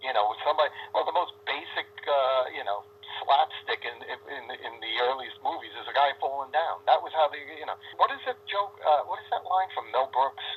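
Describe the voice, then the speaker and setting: A man giving a casual phone interview, opinionated and talking in quick bursts. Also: mid-range voice, lively intonation, husky. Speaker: interview guest. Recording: dull phone-line sound.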